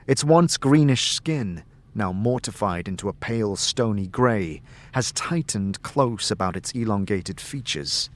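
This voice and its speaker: A man's voice: fairly low pitch, lively intonation, measured pace, hardly any pauses. A man reading dramatic, scripted second-person narration of a fantasy story. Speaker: narrator and game master. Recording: music under the voice. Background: background music.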